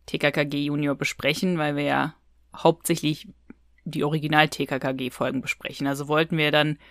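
A woman's voice: deep voice, no room sound, studio quality. A woman co-hosting a podcast in casual, conversational speech. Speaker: podcast co-host.

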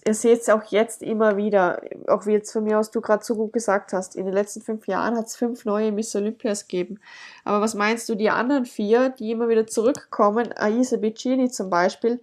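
A woman speaks with a congested, stuffy-nosed voice, as though she is getting over a cold. She talks in a rambling, casual way and often stops to correct herself mid-sentence. Her pitch sits in an ordinary middle range. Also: a little mellow. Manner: rambling, frequent self-corrections. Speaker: athlete guest. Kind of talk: casual podcast interview.

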